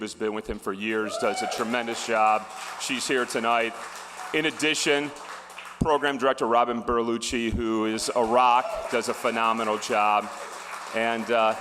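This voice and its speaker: A man's voice: medium pitch, fairly bright tone, slight background noise. A man giving a wry tribute speech at an awards ceremony, with fillers and restarts. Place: large ballroom. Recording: reverberant hall.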